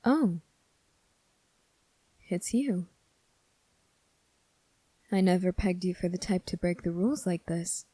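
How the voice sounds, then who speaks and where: A woman playing a kind, earnest young male cadet in an ASMR roleplay, speaking softly and politely to the listener with gentle reassurance. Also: lowish voice, dry recording, low-quality recording. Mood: surprised, friendly.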